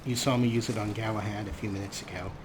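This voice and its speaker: Man, let's play commentary, sarcastic, self-mocking, clear game noise underneath. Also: on the low side, fairly even intonation, fast talker. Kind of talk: gameplay commentary.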